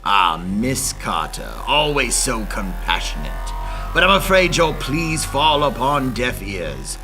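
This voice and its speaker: A man's voice: mid-range voice, very animated, takes it slowly, over music. A man playing a sinister, menacing doctor villain with mock-courteous, theatrical delivery in an old-time radio drama. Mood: gloating menace.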